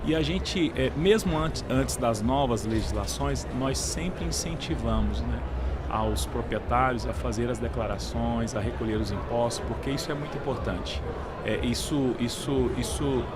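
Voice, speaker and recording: A man's voice: mid-range voice, normal speed. A Brazilian Portuguese-speaking man answering in a conversational podcast interview, often repeating and restarting his words. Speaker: guest, real estate sales director. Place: busy trade fair podcast booth. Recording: clearly noisy event floor with traffic-like ambience.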